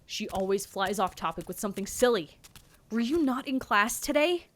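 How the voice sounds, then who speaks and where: A woman's voice: mid-range voice, expressive, normal speed, a bit bright. A woman voicing a grumpy, lazy young male cat in a cartoon comedy, blunt, practical and exasperated. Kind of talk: animated visual novel comedy dialogue. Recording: music behind the voice.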